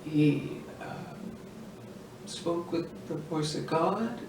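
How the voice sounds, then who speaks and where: A man acting a faltering son who haltingly and reluctantly attempts a speech about his father in a monologue. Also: on the high side, vocal fry, exaggerated voice. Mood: uneasy, subdued. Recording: echoey room, distant mic, faint hiss.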